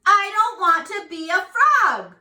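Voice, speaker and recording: Woman putting on a high, whiny young frog. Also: lively intonation, a little slow, pushed and bright, distant mic, full character voice. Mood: whiny, insistent. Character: whiny young frog who hates being a frog. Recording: echoey room.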